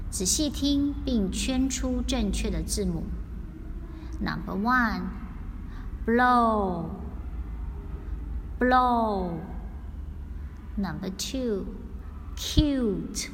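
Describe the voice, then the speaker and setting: A woman reading out a scripted listening test in a deliberate, carefully enunciated dictation style. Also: medium pitch. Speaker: English listening test reader. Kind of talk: scripted exam narration, slow and repeated. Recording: long hall reverb, faint background noise.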